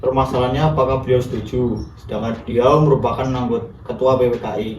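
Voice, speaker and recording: Male independence-era nationalist, man acting: formal, deliberating, rapid delivery, distant mic with audible noise. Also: mid-range voice, fairly even intonation, a little mellow, natural voice. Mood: questioning. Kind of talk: amateur historical film acting, formal scripted dialogue. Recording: echoey room, distant mic, clear noise.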